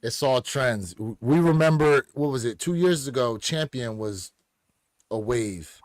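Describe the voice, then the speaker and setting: A man's voice: medium pitch, expressive, unhurried, no room sound. American man hosting, dry close sound. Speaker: podcast host. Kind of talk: casual livestream chat.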